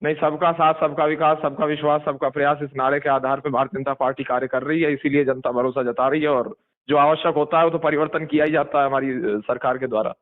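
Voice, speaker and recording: Over a very tinny telephone line, a man with a fairly high voice speaks as a party spokesperson. He gives measured answers, often repeating phrases in slogan-like lists. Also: steady tone. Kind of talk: phone interview, giving measured political answers. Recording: tinny recorded phone call.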